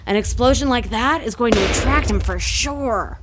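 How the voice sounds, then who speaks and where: A woman's voice: fairly high pitch, normal speed, natural voice. Woman acting a scornful, self-serving fugitive pilot. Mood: defiant. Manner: curt, scornful, edgy. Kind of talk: audio drama acting. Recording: clear background noise, clicks.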